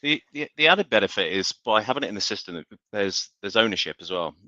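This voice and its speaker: Male webinar expert, forceful low voice, explanatory, slightly dull call audio. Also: expressive, brisk, dry recording. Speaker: industry expert panelist. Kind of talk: conversational business webinar discussion. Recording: dull, band-limited online call audio.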